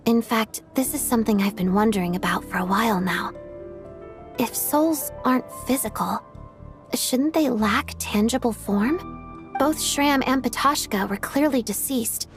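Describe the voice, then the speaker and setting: An actress playing a gentle, inquisitive young woman in a video game cutscene, speaking in a reasoned, questioning way. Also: fairly high pitch, natural voice.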